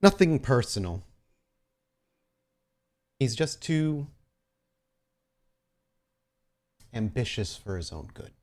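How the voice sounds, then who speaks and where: A man voicing a smug, menacing ageless vampire crime boss who speaks in measured, formal taunts in a tabletop game. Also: medium pitch, sing-song, darkish tone, voice pushed up.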